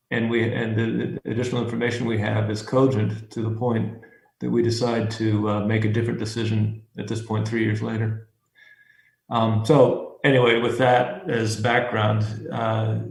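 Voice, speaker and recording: A man leads a public hearing in a low, gravelly voice, moving along haltingly with lots of fillers and restarts. His delivery stays flat, and the room around him echoes. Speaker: commission chair.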